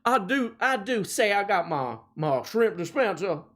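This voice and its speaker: A man's voice: high voice, sing-song, a little slow, a bit bright, pitched above the performer's own voice, full character voice. A man putting on a hammy drawling Southern fried-food lover. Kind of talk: comic impression on a livestream.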